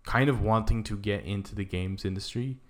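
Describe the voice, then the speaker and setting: A man's voice: fairly low pitch, brisk. A man co-hosting a podcast, talking informally about video games and often saying "like". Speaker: podcast co-host.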